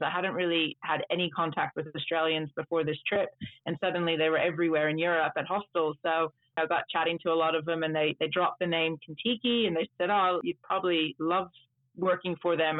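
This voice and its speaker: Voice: mid-range voice, fairly even intonation, quick pace, no echo. A native Dutch speaker in their thirties, a tour trip manager, chatting at length in an interview over a video call. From Netherlands and United States. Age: 30s. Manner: chatty, long rambling answers. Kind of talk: casual interview storytelling. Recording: tinny phone-like line, dry.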